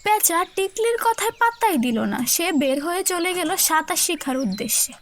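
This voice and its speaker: A woman's voice: very high voice, expressive, moderate pace, slight background noise. A woman narrator telling a scripted children's cartoon story.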